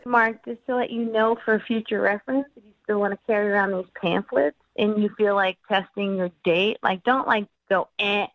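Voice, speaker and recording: A woman's voice: medium pitch, fairly even intonation, normal speed, hardly any pauses, no echo. A woman calling into a radio segment, chatting casually with amused, polite bluntness over a slightly dull line. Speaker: caller on a radio dating segment. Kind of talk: casual chat. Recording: slightly dull phone-call sound.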